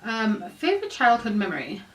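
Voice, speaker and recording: A woman in her late thirties from California talks her way through a vlog of personal questions, rambling easily and dotting her sentences with "um" and "like". Her voice sits a little high. She sounds set back from the mic, in a room with a noticeable echo. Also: huge pitch swings, fairly quick, a bit bright. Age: 30s. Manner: chatty and rambling, many "um"s and "like"s. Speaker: YouTuber. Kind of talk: casual question-and-answer vlog. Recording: roomy echo, mic at a distance.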